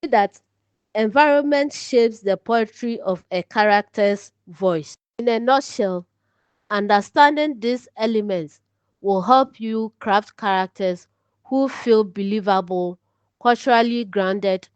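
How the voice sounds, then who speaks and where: A woman with a Ghanaian accent delivers an online lesson in a smooth, clear voice. She takes her time, explaining each point deliberately. The recording is dry but a little dull. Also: mid-range voice, no room sound. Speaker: teacher presenting an online lesson. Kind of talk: instructional lecture. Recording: slightly dull, lo-fi audio.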